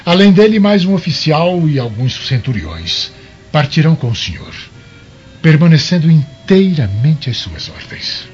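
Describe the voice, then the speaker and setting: An actor playing a courtly, unctuous Roman governor in a Brazilian radio soap, speaking formally and effusively. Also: male voice, a little high, sing-song, measured pace, a little mellow. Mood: solicitous. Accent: Brazilian Portuguese. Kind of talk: radio soap opera acting. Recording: dull lo-fi radio drama, music behind.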